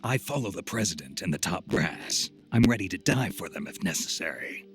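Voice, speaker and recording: A very low, gravelly American man's voice for a loyal special forces soldier in a video game. He speaks tersely and stoically, with music behind him. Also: very animated, fairly quick, a bit bright, exaggerated voice. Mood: stoic, resolute. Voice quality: gravelly, gruff. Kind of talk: video game cutscene acting.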